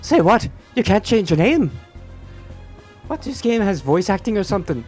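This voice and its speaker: A man's voice: high-pitched, big pitch swings, moderate pace, darkish tone, faint music behind, voice pushed up. A man voicing a courtly, flowery wandering renamer from a video game, in a grandiose, formal, declaiming manner. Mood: indignant, theatrical.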